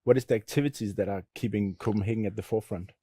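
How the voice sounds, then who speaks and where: A man's voice: on the low side, fairly even intonation, rapid-fire, dark tone, husky, no echo, clean studio sound. A man hosting a fashion panel, friendly and hesitant, with many fillers. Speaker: panel moderator, magazine editor. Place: studio panel at a fashion event.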